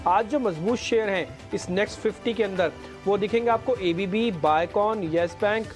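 A male news anchor giving live, brisk stock market commentary and speaking directly to viewers. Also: high voice, faint music behind. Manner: brisk, talks straight to viewers.

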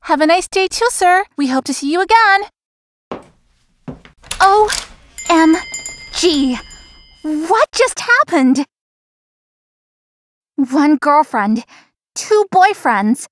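A woman's voice: very high voice, expressive, very slow, pauses often, exaggerated voice. A woman playing an anxious, chatty young florist in a visual novel, talking expressively to herself and others. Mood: polite, then flustered. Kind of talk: visual novel voice acting, inner monologue.

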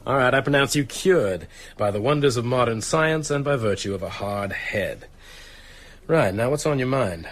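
An actor playing a dry, wry small-town American doctor in a radio drama. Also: male voice, mid-range voice, lively intonation, moderate pace, close to an everyday voice. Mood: brisk, wry. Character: wry, brisk small-town American doctor, sceptical but open-minded.

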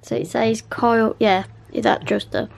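A woman's voice: fairly high pitch, sing-song, normal speed, creaky, darkish tone. Giggly, rambling young woman. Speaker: vlogger. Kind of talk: casual chat. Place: bedroom at night. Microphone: handheld camera.

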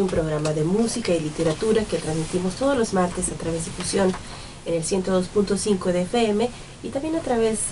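Una mujer conductora de radio que presenta el programa y a sus invitados, con pequeños tropiezos. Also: female voice, fairly low pitch. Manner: reads intro, stumbles slightly. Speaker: radio host. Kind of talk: radio show introduction. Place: small radio studio. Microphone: desk studio mic. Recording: slightly distant, faint hiss.

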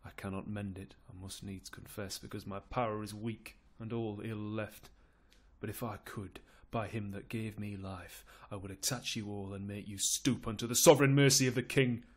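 A man's voice: lowish voice, fairly even intonation, normal speed, lightly acted. A man playing a principled, weary old duke who declaims verse sternly and with resignation. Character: weary, principled old duke, rebuking yet powerless uncle.

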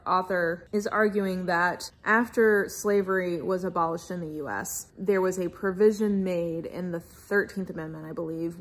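An American woman in her thirties giving a casual, chatty book review vlog with expressive, emphatic asides. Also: on the low side. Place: furnished room at home, indoors.

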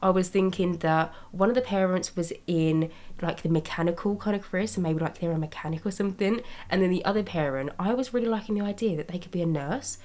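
A young woman narrates her building video in a casual, rambling way. Her voice sits a little low and carries a slight rasp from a lingering cold. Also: fast talker, a little mellow. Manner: chatty, rambling, with small laughs. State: raspy from a cold. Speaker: Sims speed-build YouTuber. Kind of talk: casual commentary over gameplay. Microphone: voice-over recorded separately from gameplay.

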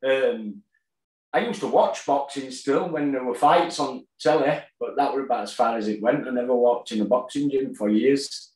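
A man's voice: mid-range voice, darkish tone. Man in an echoey kitchen. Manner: plain-spoken, halting with fillers. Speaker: guest, boxer and gym owner. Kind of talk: casual interview storytelling. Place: kitchen at home, indoors. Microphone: tablet on a video call. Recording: echoey room.

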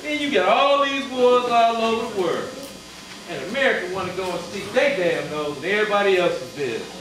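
Echoey, gruff stage dad played by a man. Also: high-pitched, expressive, brisk. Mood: grumbling, indignant. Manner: blunt, profane, grumbling. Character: gruff, irritable father, fed up and opinionated. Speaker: stage actor. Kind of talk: live urban stage play dialogue. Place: theatre stage before a live audience. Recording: roomy far-off stage recording, noisy audience.

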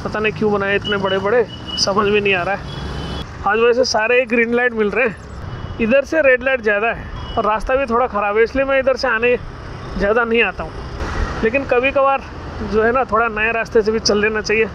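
A high-voiced man in his thirties talks casually to his viewers while riding a scooter through the city. The sound comes from a camera on the bike, with loud street and engine noise all around. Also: steady tone, fairly quick, lo-fi sound. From India. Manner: chatty, addresses viewers as guys. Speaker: vlogger. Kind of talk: casual moto vlog commentary. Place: riding a scooter on city roads, then indoors at an office. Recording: traffic noise of a scooter ride.

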